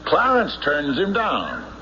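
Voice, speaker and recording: An actor in an old-time radio drama playing a shrewd, relentless sheriff who questions suspects with deliberate, accusatory pauses. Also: male voice, a little high, wildly swooping pitch, sharp tone, highs cut off, heavily acted. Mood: commanding, sardonic. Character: shrewd, relentless small-town sheriff interrogating murder suspects. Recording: noisy band-limited old radio broadcast recording.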